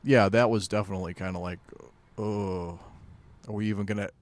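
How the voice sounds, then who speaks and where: A man's voice: lowish voice, steady tone, a little fast. A man co-hosting a podcast in casual chat, laid-back with dry jokes and hesitant fillers. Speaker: podcast co-host.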